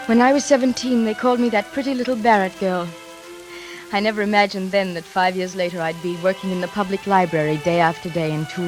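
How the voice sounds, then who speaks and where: A woman's voice: on the low side, natural voice, poor audio. An actress playing a dutiful, lonely young librarian who tells her own story in a 1940s radio drama. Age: young adult. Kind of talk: 1940s radio drama first-person narration. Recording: vintage 1940s radio recording.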